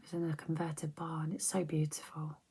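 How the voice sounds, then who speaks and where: A middle-aged woman, chatting softly and rambling on a close mic for an ASMR video, often slipping into whispers. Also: deep voice, completely flat delivery, moderate pace, breathy edge. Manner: soft-spoken, drifting into whispers, chatty and rambling. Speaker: ASMR creator. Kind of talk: soft-spoken ASMR chat.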